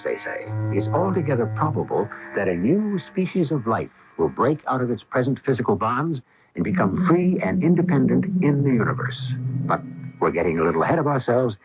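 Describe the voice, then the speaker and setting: A man narrating as host of a radio drama, in a measured, theatrical scripted style. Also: medium pitch. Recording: muffled old AM radio broadcast.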